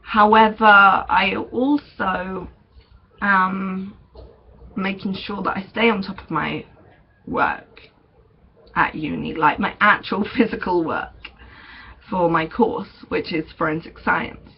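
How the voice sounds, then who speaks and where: Young man, vlogger, unhurried and hesitant, gravelly edge, dull lo-fi recording. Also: sits high, steady tone. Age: young adult. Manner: chatty, hesitant, many ums and small laughs. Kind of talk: casual vlog update. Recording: dull lo-fi recording with faint noise.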